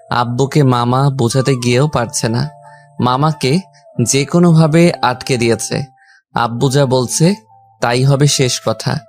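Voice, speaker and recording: A man's voice: medium pitch, darkish tone. A man reading a scripted first-person story as its narrator and lead character. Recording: background music under the voice. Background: music.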